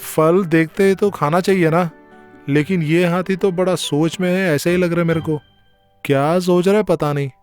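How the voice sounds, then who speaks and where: Man putting on a high-pitched scheming cartoon poacher. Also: steady tone, normal speed, darkish tone, clear, resonant tone, soft background music, close to an everyday voice. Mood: puzzled, annoyed. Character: scheming, impatient male elephant poacher in a cartoon.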